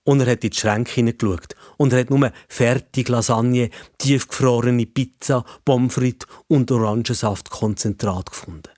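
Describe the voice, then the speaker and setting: A man's voice: medium pitch, fairly even intonation, normal speed, few pauses, darkish tone, dry recording, studio quality. A man with a Swiss German accent narrating a scripted story for children.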